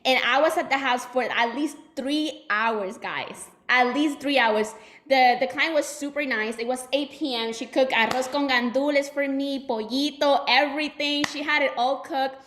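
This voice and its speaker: A woman's voice: sits high, moderate pace, fairly bright tone. A woman in her twenties with a Puerto Rican accent, giving a chatty motivational training talk to her team with many fillers and restarts. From Puerto Rico. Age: 20s. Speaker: insurance agency owner giving a talk.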